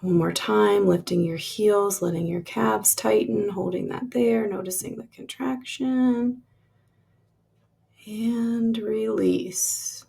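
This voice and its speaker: Slow, very creaky woman guiding meditation. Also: medium pitch, fairly even intonation. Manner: soft, lingering phrasing, trailing into breathy releases. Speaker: meditation guide. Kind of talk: guided relaxation meditation, slow spoken instructions.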